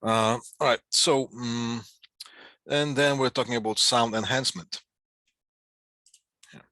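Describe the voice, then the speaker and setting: A man giving an informal slide lecture as an instructor, explaining in short, halting phrases with asides, close on the microphone. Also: mid-range voice, fairly even intonation, pushed and bright, dry recording. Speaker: instructor giving a class on PA systems.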